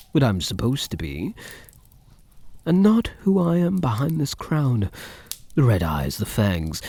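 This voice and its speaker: A man playing a possessive yet tender vampire count in a soft-spoken ASMR roleplay, murmuring intimately and often dropping into whispers. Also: medium pitch, vocal fry, slightly rough, no room sound, heavily acted. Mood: wistful, vulnerable.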